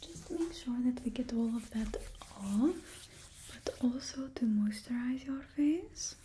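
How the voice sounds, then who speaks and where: A young woman in her twenties, voicing a blunt female stylist for supervillains with a put-on Russian accent. She whispers close to the mic in an ASMR roleplay, critical but gentle. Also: on the high side, lively intonation, slow pace, a little hiss or hum. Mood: hushed. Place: indoors, small studio with painted backdrop. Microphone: close ASMR microphone.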